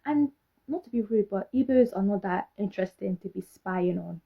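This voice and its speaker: A woman's voice: mid-range voice, moderate pace, gravelly, husky, lo-fi sound. A young British woman in her twenties, talking casually to camera in a sassy, sarcastic way, full of rhetorical questions. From Britain. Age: young adult. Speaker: vlogger.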